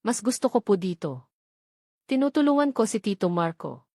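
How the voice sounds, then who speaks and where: A woman's voice: mid-range voice, very animated, normal speed, a little mellow, no room sound, clean studio sound. A woman voicing a polite young boy in an audiobook, speaking simply and contentedly. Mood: politely content.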